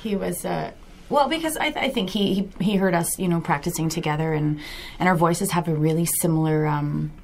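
Low-voiced hesitant woman musician. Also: fairly quick, few pauses, darkish tone, a little hiss or hum. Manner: hesitant, searching for words. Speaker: musician guest. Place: radio studio.

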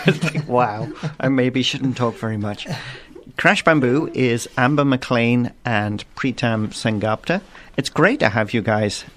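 A man's voice: medium pitch, a bit bright. A man hosting a live radio interview in the studio, enthusiastic and rambling with restarts. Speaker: radio host.

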